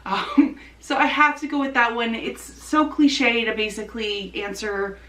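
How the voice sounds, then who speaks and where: A woman's voice: fairly high pitch, fairly even intonation, measured pace, fairly bright tone, slight background noise. A woman chatting casually and enthusiastically about books as a vlogger, recorded in a roomy space at some distance from the mic. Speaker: book vlogger. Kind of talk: casual book review chat to camera.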